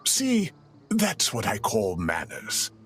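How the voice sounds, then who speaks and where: A man's voice: lowish voice, wildly swooping pitch, takes it slowly, fairly bright tone, soft background music, heavily acted. An actor playing a fussy, lecturing older male professor in a video game, with a pompous, theatrical manner. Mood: curious, animated. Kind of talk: video game character acting.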